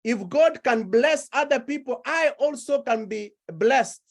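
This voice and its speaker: A woman preaching a sermon, slow and deliberate, building her points through repeated phrases. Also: sits high, animated.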